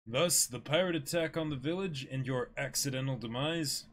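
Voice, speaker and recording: A man's voice: mid-range voice, a bit bright, slight background noise, lightly acted. A man voicing a cold, bitter pirate villain posing as a mock-polite butler in a dramatic manga reading. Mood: menacing. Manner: slow, deliberate, mock-polite.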